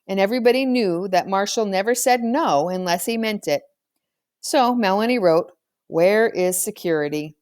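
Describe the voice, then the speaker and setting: A woman's voice: mid-range voice, normal speed, darkish tone, dry recording. A woman reading a children's novel aloud as scripted storybook narration. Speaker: audiobook reader.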